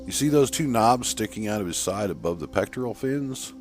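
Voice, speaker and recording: A man's voice: fairly low pitch, expressive, a little fast, a little mellow, soft background music, voice pushed up, close to an everyday voice. A man voicing an earnest male scientist who recounts events emphatically in an audio horror story. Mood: earnest, emphatic. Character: male shark researcher earnestly recounting an attack.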